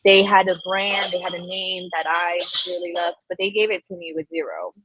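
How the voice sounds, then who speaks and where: A woman from Colombia speaking as a guest in a casual interview, chatty and animated, laughing often and using lots of fillers. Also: fairly low pitch, normal speed, a little hiss or hum, low-quality recording.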